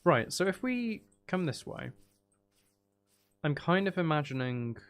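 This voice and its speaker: A man's voice: on the high side, measured pace, clear, resonant tone. A man giving casual live game commentary, thinking aloud in a playful, self-deprecating, rambling way. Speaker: game streamer. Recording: steady rain noise behind the voice. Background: rain sounds.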